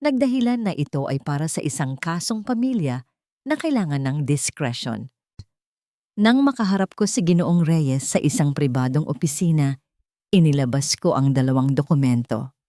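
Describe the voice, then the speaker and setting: Low-voiced woman narrating a drama. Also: lively intonation, normal speed, warm tone, dry recording, clean studio sound. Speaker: audio story narrator. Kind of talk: scripted dramatic first-person narration.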